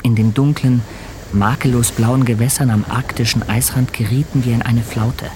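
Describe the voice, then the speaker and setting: A man's voice: medium pitch, fairly even intonation, lightly acted. Grave ship's captain, played by a man, noisy sea ambience. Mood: awed narration. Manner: solemn, literary, measured delivery. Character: grave, questioning male captain of an Arctic expedition ship. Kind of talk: German radio drama acting and narration.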